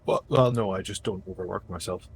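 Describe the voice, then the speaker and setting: A man's voice: sits low, brisk, vocal fry, slight background noise. A man on voice chat who talks with lots of hesitations, casually explaining game weapons and his work. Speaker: friend on voice chat.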